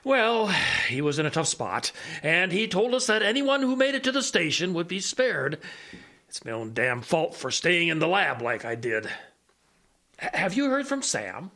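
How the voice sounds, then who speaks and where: A man playing a stubbornly hopeful older major, a blinded radio tinkerer, who talks in a halting, rambling way in an audio drama. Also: a little high, big pitch swings, bright, forceful tone, full character voice. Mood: wistful, rambling.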